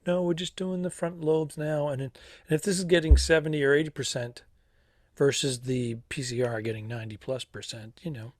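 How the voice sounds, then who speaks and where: A man co-hosting a comedy podcast from a cruise ship cabin, telling stories with deadpan punchlines in a slightly raspy voice. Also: fairly high pitch, fairly quick, no echo, clean studio sound. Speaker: podcast co-host. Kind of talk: casual comedic chat.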